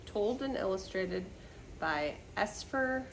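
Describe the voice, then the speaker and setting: A woman's voice: mid-range voice, expressive, slow, creaky, slight background noise, low-quality recording. An older woman reading a children's picture book aloud expressively, with chatty asides and questions to young listeners.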